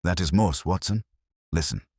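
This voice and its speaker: A man voicing a brilliant, grave detective in an audio story, deliberate, incisive and coolly analytical. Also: low voice, darkish tone, breathy edge, no echo, studio quality. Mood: intent.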